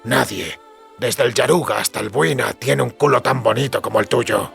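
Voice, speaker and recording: Very gravelly, sardonic witcher. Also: mid-range voice, normal speed. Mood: deadpan, flirting. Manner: deadpan, laconic. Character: dry, sardonic male witcher, weathered monster hunter and lover. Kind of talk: audiobook drama acting. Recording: music behind the voice.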